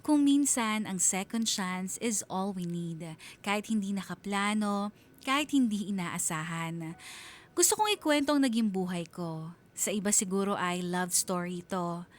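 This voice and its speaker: A woman's voice: mid-range voice, animated, unhurried. Woman narrating a confiding radio story. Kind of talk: scripted dramatic storytelling.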